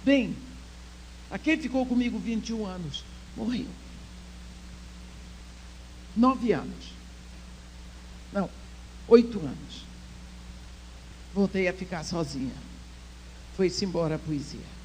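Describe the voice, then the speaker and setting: A woman's voice: medium pitch, measured pace, long pauses, low-quality recording. An older woman shares a personal testimony before a women's church gathering, taking her time over each phrase. She lets her voice sink almost to a whisper at tender moments, then presses her listeners with earnest appeals. A faint hum sits under the recording. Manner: heartfelt storytelling, swings between soft near-whispers and emphatic exhortation. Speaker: conference speaker.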